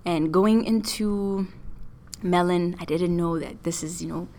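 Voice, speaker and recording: A young woman student from Ethiopia, talking about her studies and experiences thoughtfully, with fillers and pauses. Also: lowish voice, darkish tone, slight background noise. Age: young adult. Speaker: student interviewee. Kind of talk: casual interview.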